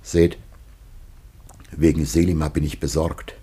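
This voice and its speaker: A man's voice: flat delivery, measured pace, mellow, heavily acted. An actor playing a dying old patriarch and father in a classic German radio drama, declaiming solemnly and weightily. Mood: troubled. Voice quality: very deep, husky.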